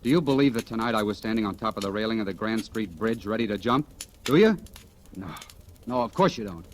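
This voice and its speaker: A man's voice: fairly low pitch, lively intonation, fast, a little hiss or hum, lightly acted. An actor playing an embittered, despairing young American man, bitter and intense, in a 1940s radio drama. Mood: bitter, defiant. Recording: vintage 1940s radio recording, music underneath.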